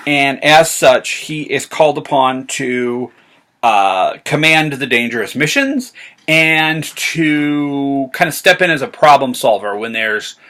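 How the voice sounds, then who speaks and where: A man's voice: mid-range voice, animated, takes it slowly, sharp tone. A man talking casually to viewers as a rambling, hesitant enthusiast who often corrects himself. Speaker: science fiction author and vlog host. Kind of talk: casual retro sci-fi talk to viewers.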